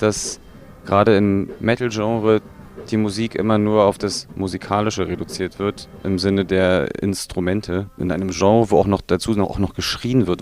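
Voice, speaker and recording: Low-voiced man, measured interview. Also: a little mellow. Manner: thoughtful, measured explaining. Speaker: metal band member. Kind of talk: documentary interview. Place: recording studio.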